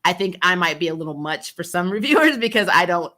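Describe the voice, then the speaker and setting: Blunt, bright-voiced woman reviewer. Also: on the low side, expressive, quick pace. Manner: blunt, unfiltered, swears freely. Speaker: host of a TV recap show, reviewer. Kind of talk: casual livestream chat answering viewer questions.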